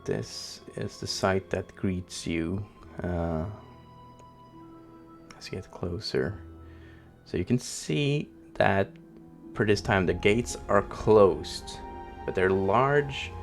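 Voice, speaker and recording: Slow, deep-voiced Norwegian game master. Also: male voice, animated, creaky, soft background music. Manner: slow, hesitant, full of fillers. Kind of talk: narrating a tabletop game.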